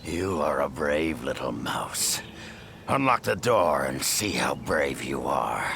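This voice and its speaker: A proud, menacing beast warrior, with an extremely deep, gravelly voice. He taunts slowly and deliberately in a fantasy game scene, over noisy ambience. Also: very animated, a bit bright, full character voice. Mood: taunting, defiant.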